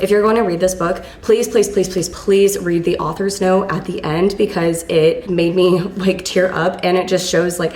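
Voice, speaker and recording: Hoarse young woman rambling about books, kinda low voice, echoey room w faint hiss. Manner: chatty and gushing, with lots of "like" and "um". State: hoarse, voice not fully back after illness. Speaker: book vlogger. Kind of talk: casual book review vlog.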